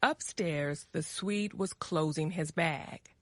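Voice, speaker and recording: A woman narrating a story as a dramatic reading, with clear phrasing for language learners. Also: deep voice, slow pace, soft background music.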